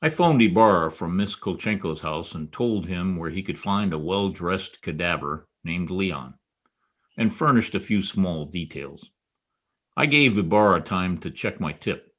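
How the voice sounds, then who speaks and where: A man plays a hard-boiled private eye in a radio detective drama. His voice sits fairly low, with a wry, knowing delivery and a dogged streak. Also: fairly bright tone. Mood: breezy narration. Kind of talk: old-time radio detective drama re-creation.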